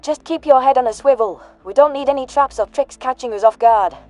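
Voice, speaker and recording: Woman acting a blunt, higher-voiced female soldier. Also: brisk, darkish tone, soft background music, close to an everyday voice. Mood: brisk, blunt. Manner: blunt, sardonic, swearing. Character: tough, grieving, foul-mouthed human woman soldier, widowed. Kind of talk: sci-fi audio drama dialogue.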